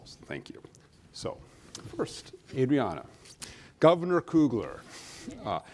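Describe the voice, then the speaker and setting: A man's voice: lowish voice, darkish tone. A man moderating a lecture question session, giving polite and formal instructions to the audience.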